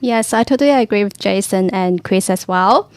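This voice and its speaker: A woman's voice: medium pitch, no echo. Woman who learned English as a second language, asking panel questions, dry studio sound. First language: not English. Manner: careful, slightly halting. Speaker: panel moderator. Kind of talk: radio panel discussion.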